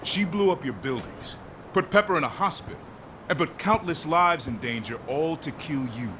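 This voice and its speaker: An actor playing a stern, blunt commanding agent in a video game, gruff and scolding in manner. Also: male voice, fairly high pitch, lively intonation, normal speed, natural voice. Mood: stern, angry. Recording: clear engine-like background noise.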